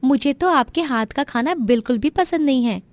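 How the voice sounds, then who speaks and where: A woman plays a petulant young sister-in-law in a family tale. The voice is raised high, scornful and complaining, in a dry recording. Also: lively intonation, normal speed, no room sound. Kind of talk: dramatized Hindi family story.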